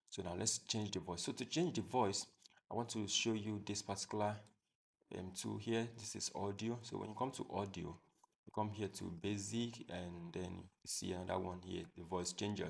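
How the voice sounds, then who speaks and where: Low, gravelly male tutorial host. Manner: step-by-step, repetitive explaining. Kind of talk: screen-recorded software tutorial.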